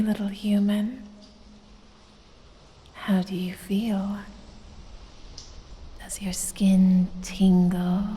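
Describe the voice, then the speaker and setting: A woman voicing a sultry, predatory lamia who teases and coaxes with quiet menace in a breathy, whispery ASMR roleplay, drawing out her words seductively. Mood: coaxing, teasing. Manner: slow, drawn-out, seductive teasing with low chuckles. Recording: long cavernous reverb.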